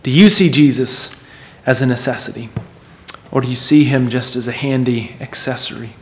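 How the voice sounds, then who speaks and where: A man's voice: mid-range voice, normal speed. A man preaching a sermon in an earnest, emphatic manner, repeating phrases for weight and putting questions to his listeners.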